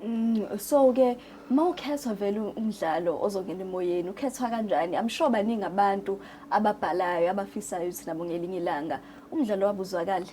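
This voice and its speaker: A woman's voice: mid-range voice, fast talker, background noise. A South African woman, a native Zulu speaker, hosting a conversational interview in a studio. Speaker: TV host interviewing radio drama staff. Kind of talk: conversational magazine-show interviewing. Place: radio drama studio.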